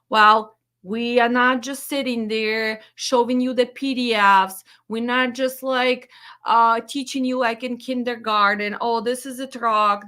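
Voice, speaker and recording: Ukrainian-accented woman, dispatch trainer; firm, direct, unhurried delivery. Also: on the high side, sharp tone. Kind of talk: promotional webinar announcement.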